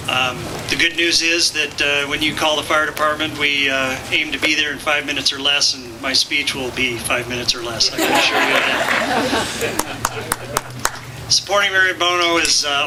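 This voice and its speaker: A man's voice: a little high, steady tone, normal speed, a crowd in the background. A man speaking for a firefighters' group at a political rally, in a folksy, jokey manner. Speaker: firefighter association representative. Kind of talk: public speech at a political rally. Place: outdoor campaign rally. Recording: outdoor rally with clear background noise.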